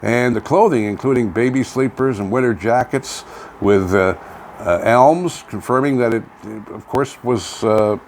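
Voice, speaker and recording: A man's voice: medium pitch, unhurried, darkish tone. An older man with a Canadian accent co-hosting a casual local news chat, sometimes reading items aloud, in a folksy, plain-spoken, rambling way. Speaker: co-host of a local online radio vlog. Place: home studio, indoors. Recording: clearly noisy home studio recording.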